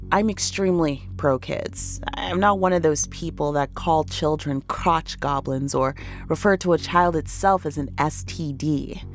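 A woman playing an anxious, blunt pregnant woman recording a candid, wry confessional diary for an audio drama. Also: low voice.

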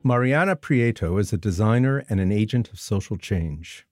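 A man hosting an interview podcast, reading a measured introduction and asking thoughtful questions. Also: on the low side, animated, no room sound. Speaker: podcast host.

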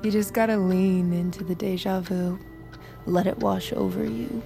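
An actress playing a cocky, irreverent young army recruit in a naturalistic audio drama, sardonic and flippant. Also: female voice, medium pitch, steady tone, moderate pace, vocal fry, dark tone, soft background music, natural voice. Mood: wry, teasing. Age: young adult.

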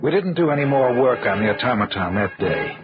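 An actor playing an earnest lab assistant who narrates a suspense tale in an old-time radio drama style. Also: male voice, medium pitch, big pitch swings, a little fast, lightly acted. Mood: grave storytelling. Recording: muffled vintage radio recording, music behind.

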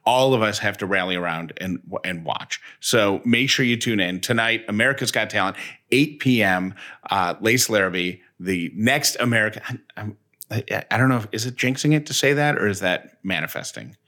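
Male podcast co-host, casual talker, lower register with a sharp, forceful edge. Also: normal speed.

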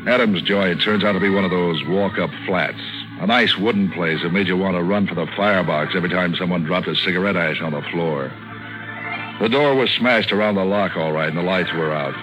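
Man acting a low-voiced, cynical hard-boiled American detective. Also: a little fast, music in the background, lightly acted, low-quality recording. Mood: dry, brooding. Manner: sardonic, deadpan wisecracks. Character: wisecracking, cynical hard-boiled male hired detective narrating his case. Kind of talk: 1940s radio noir acting, first-person narration. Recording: old 1940s radio recording.

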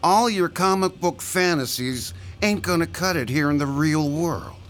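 A man's voice: a little high, measured pace, a bit bright. An actor playing a sarcastic male thug in a radio drama, taunting and drawling out his threats with sneering mockery. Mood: scornful.

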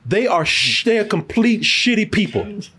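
A man's voice: sits high, big pitch swings, normal speed, crowd noise. A man hosting a casual, profane film review podcast, telling it emphatically with lots of repetition and free cursing.

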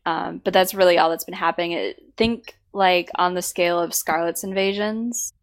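A woman co-hosting a casual podcast, her voice worn out by a sore throat, talking in halting bursts with many fillers. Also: lowish voice, steady tone. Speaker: podcast co-host.

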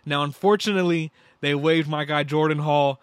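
A young man co-hosting a sports podcast, talking in an excitable, slangy way and repeating himself. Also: fairly high pitch, moderate pace, clean tone, dry recording. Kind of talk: casual basketball chat.

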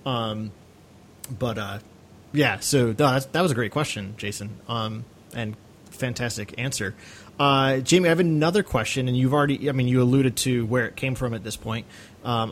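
A man's voice: mid-range voice, moderate pace, a little hiss or hum. A man hosting a podcast with casual interview chat, rambling as he works his way into questions. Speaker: podcast host.

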